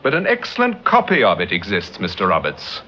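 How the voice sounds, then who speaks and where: An urbane gentleman detective with a Received Pronunciation accent and a lively, varied delivery. He sounds assured and articulate, in classic radio drama style on a muffled old recording with faint noise. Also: low voice, exaggerated voice. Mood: assured, explaining. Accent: British Received Pronunciation. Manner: urbane, articulate, measured explaining. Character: suave, assured male amateur detective, crime novelist. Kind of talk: mid-century British radio drama acting.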